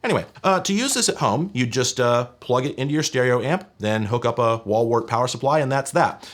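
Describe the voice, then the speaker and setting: A man's voice: mid-range voice, expressive, brisk, a bit bright. A man hosting a tech explainer video in a conversational, wry, nerdy way, as if talking straight to viewers. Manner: casual, wry, nerdy technical detail. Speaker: host of a retro tech video channel.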